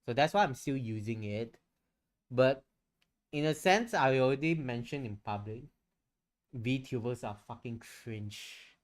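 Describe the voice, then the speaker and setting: A man's voice: medium pitch, animated, measured pace. A man chatting casually on a livestream, rambling and candid, swearing freely as he goes. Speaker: streamer and VTuber.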